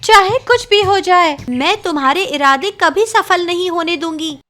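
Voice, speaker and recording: Woman putting on a very high pleading fairy mom, cartoon story. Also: moderate pace, pushed and bright. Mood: defiant. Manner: tearful, pleading. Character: distressed fairy mother, gentle.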